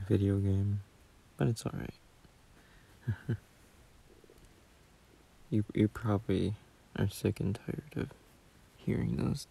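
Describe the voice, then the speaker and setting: Guy acting as a shy sweet boyfriend, really slow sleepy-soft murmur, gravelly low-ish voice, comfort audio. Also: full character voice. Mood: sleepy, hesitant. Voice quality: husky, soft, breathy murmur. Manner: slow, hesitant, gentle. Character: gentle, shy, doting young boyfriend soothing his partner. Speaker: audio roleplay performer. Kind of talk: soft intimate sleep-aid roleplay. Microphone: close phone mic.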